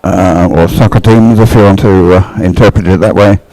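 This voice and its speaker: A man's voice: deep voice, steady tone, moderate pace, mellow, no echo, rough recording. A man giving live race commentary, explaining things in a hesitant, unscripted way. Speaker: sports commentator.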